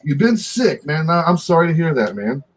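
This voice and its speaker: A man's voice: a little high, very animated, moderate pace, slight background noise. An older man hosting a casual chat, teasing his co-host and swearing offhandedly. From United States. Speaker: podcast host. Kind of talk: casual chat over video call.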